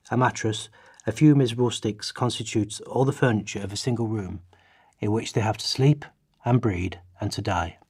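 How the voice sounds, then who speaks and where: A man playing a grave writer from past centuries, giving a measured, formal dramatised reading in a radio play. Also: on the low side, darkish tone, breathy edge, no echo, studio quality. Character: grave male social writers of past centuries chronicling poverty.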